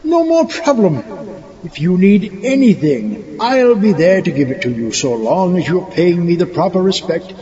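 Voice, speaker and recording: A man's voice: high-pitched, very animated, moderate pace. A man playing a pompous, gleeful megalomaniac scientist villain giving a theatrical audio-drama speech. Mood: grandiose. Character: gleeful megalomaniac mad scientist villain seeking world domination. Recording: muffled, long hall reverb, crowd behind.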